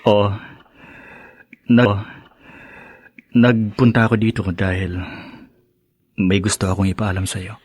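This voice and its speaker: A guarded young elder brother in a radio drama. His voice is fairly low and husky, with a hesitant, subdued way of talking. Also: little pitch movement, slow, long pauses, darkish tone. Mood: somber. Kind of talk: Filipino radio drama acting.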